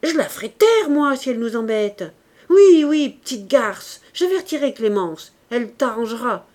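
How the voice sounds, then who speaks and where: A woman voicing a haughty young maid with a sharp tongue. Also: mid-range voice, animated, normal speed, lightly acted. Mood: scolding. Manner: scolding, emphatic.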